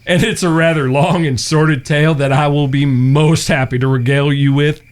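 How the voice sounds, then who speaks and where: A man's voice: on the high side, steady tone, normal speed, smooth, close to an everyday voice. Wry folksy young cowboy played by a man. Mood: teasing. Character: teasing, smitten younger brother, Old West cowboy. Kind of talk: western audio drama acting. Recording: background music.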